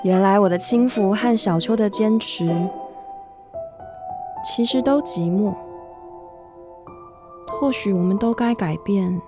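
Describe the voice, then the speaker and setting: An actress playing a candid, flighty woman who narrates a radio drama about her friend in an expressive, confiding way. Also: mid-range voice, takes it slowly, long pauses, lightly acted. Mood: reflective, wistful. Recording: music bed under the voice.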